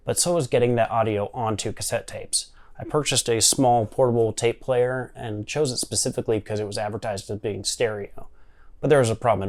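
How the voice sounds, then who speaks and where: A man's voice: mid-range voice, a little mellow. A man presenting a hobby electronics project in an explanatory tutorial style. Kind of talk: tutorial narration and screen demonstration.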